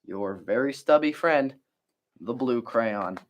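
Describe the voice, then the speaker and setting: Man as a worn-out little crayon, expressive. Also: mid-range voice, slow, slight background noise, pitched below the performer's own voice. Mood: weary. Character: blue crayon, worn-out, stubby, weary favourite. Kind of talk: children's picture book read-aloud.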